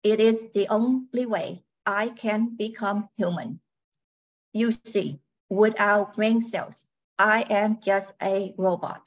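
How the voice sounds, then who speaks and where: A robot wife acted by a woman in a radio drama, unhurried and courteous. She states her need to be human with cool, apologetic logic. The sound is slightly dull and lo-fi. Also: medium pitch. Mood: matter-of-fact, insistent. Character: polite robot wife draining brain cells to become human. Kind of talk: radio play acting.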